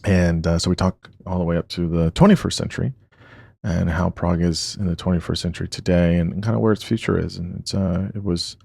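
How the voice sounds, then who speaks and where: Deep gravelly-voiced male podcast host. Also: brisk, no echo, clean studio sound. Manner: relaxed, many fillers and restarts. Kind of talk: podcast intro and interview.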